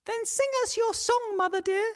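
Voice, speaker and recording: A woman's voice: very high-pitched, fairly even intonation, normal speed, no echo, clean studio sound. A woman voicing a clever, defiant young goat kid in a children's story, childlike and firm. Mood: wary. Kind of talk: children's storybook character acting.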